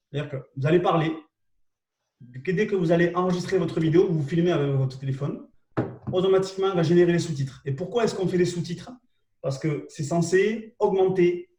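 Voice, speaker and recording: A man with a southwest French accent walks through tools in a live online session, hesitant and repetitive, often asking whether people are following. His voice sits fairly high but sounds mellow and soft-edged. He is caught by a mic set back from him in an echoey room. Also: recorded from a distance. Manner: hesitant and repetitive, checks in with listeners. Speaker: co-host presenting marketing tools. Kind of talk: live webinar explanation.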